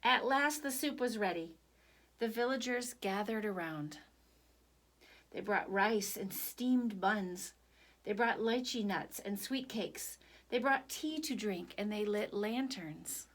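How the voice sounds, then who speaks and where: A woman storyteller reading a picture book aloud for children in an expressive, animated way. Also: medium pitch, slow pace.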